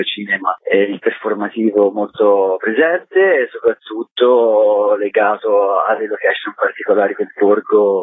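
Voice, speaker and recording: Husky low-voiced man on a phone line. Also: no echo. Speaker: festival artistic director being interviewed. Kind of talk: radio interview, explanatory talk. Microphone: telephone call to radio. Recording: thin, phone-like narrow-band line.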